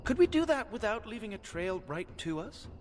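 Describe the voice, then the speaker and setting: A man's voice: sits high, sing-song, brisk, soft background music. A man voicing a cautious, fussy member of a covert crew in a video game, anxious and clipped. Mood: wary, fussy. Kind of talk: video game character acting.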